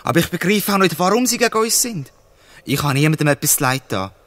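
A man's voice: fairly high pitch, lively intonation, fast, fairly bright tone. An actor playing a wary, defiant orphan boy in a Swiss German radio play.

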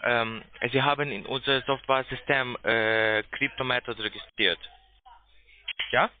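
Man, mid-pitched, non-native German speaker, halting repetitive telephone sales agent, thin phone-line sound. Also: steady tone. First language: not German. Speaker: call center agent. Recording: tinny narrow phone line.

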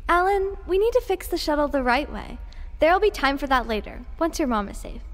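A woman's voice: sits high, lively intonation, fairly quick, big-room sound. A woman in an audio drama playing a cautious, stubborn shuttle engineer, exacting and argumentative. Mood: insistent.

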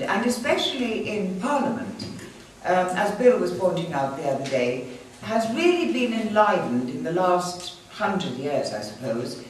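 A woman's voice: on the low side, slight background noise. An older woman from Wales, a native Welsh speaker, giving a gracious speech to an audience in a small hall, recorded from a distant camera. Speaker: guest speaker. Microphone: far camera, no mic. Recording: echoey room from far off.

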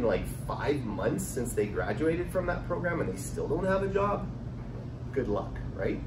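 A man's voice: mid-range voice, distant mic. A middle-aged man giving an informal startup talk at a meetup, conversational and full of fillers and restarts. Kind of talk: informal presentation to an audience. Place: conference room with projector screen. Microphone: far camera across the room. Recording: echoey room recorded from afar, background hum.

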